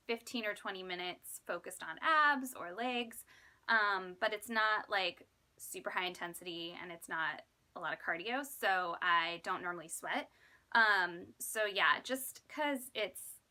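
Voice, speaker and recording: A woman in her thirties chatting casually to viewers in an animated, self-deprecating, exclamatory way, like a vlogger. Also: medium pitch. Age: 30s. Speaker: vlogger and fifth grade teacher. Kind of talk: casual chatty vlog to camera.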